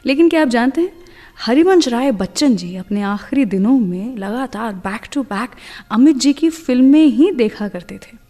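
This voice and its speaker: Mellow-voiced woman radio host. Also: on the high side, lively intonation, moderate pace, big-room sound. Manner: chatty storytelling, mixes in English words. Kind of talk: scripted radio narration.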